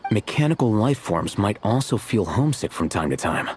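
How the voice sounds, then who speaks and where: Alhaitham, a deep, deadpan young scholar. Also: male voice, moderate pace, slight background noise. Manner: deadpan, terse, dry. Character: dry deadpan young man scholar, aloof. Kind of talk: video game cutscene voice acting.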